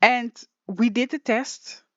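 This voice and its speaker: A woman teaching music software in a casual online tutorial, rambling and emotional with many restarts, her voice slightly raspy. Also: a little high, fairly even intonation, slow pace, dry recording. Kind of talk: casual screen-share tutorial. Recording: dull lo-fi dry sound.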